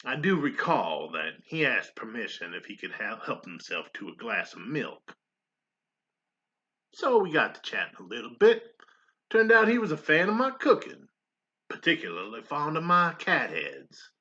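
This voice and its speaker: A man playing a folksy army staff sergeant and mess cook, telling his story in a theatrical monologue with pauses and emphatic swells. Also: mid-range voice, huge pitch swings, sharp tone. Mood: folksy, nostalgic. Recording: dull, lo-fi sound.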